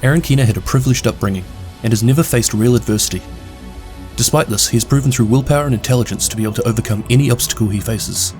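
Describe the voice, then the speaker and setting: Fast-talking New Zealand man narrating. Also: mid-range voice, soft background music. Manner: brisk, steady recap delivery. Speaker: video game lore narrator. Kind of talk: scripted narration.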